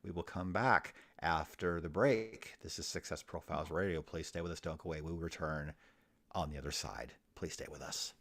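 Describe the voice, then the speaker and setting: A man's voice: very deep voice, normal speed. A man from Iowa hosting a radio talk show, with a practiced broadcast delivery for plugs, sign-offs and questions. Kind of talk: conversational radio interview.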